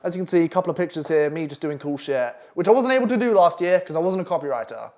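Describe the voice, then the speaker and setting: Brash, fast-talking Essex man. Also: on the high side, animated, a little mellow. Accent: Essex English. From England. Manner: brash, profane, pushy. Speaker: copywriting coach. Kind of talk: online masterclass presentation. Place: London flat.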